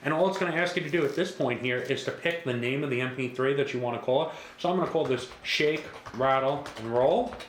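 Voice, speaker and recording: A man's voice: medium pitch, quick pace, hardly any pauses. Echoey male tutorial demonstrator. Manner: casual, repetitive, reassuring instructor. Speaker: product demonstrator. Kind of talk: step-by-step software tutorial. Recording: echoey room with faint background noise.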